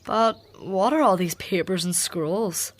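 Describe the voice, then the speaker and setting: A woman's voice: lowish voice, a little slow. An actress playing a young woman who is Matthew's sister, hesitant and fretful in a radio drama. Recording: radio play with faint music.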